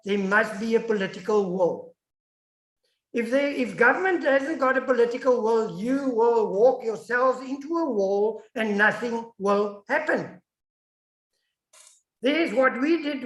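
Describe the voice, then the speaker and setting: A South African woman, a native Afrikaans speaker, lectures as a guest academic in an online webinar. Her voice sits fairly high and she speaks emphatically. The room around her is noticeably echoey. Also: fairly bright tone. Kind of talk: webinar lecture with audience questions. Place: indoors, online video call.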